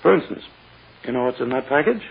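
An actor playing a smug, courting prosecutor with an unctuous, self-important, drawn-out manner in 1940s radio style. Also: male voice, medium pitch, expressive, gravelly, breathy edge. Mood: smug, insinuating. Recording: muffled, hissy old radio recording.

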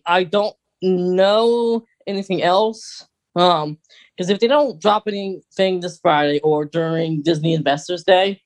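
A podcast co-host chatting casually and speculating as a fan, often hesitating and thinking aloud. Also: medium pitch.

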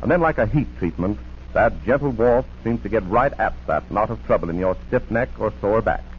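A man's voice: on the low side, lively intonation, a little fast. A man working as a 1940s radio announcer, with a polished, emphatic sales delivery. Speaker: radio announcer and sponsor pitchman. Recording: dull, hissy 1940s radio broadcast.

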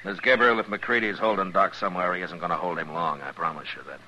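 An actor playing a steady, commanding American frontier marshal in an old-time radio western, speaking firmly and plainly. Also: male voice, low voice, quick pace. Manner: firm, plain-spoken. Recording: dull, hissy vintage radio recording.

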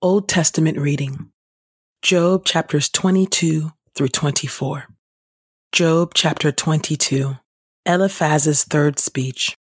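A woman reading scripture aloud for a devotional podcast in a measured, deliberate, formal way. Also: low voice, steady tone, husky, no echo, clean studio sound. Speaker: scripture reader and podcast host.

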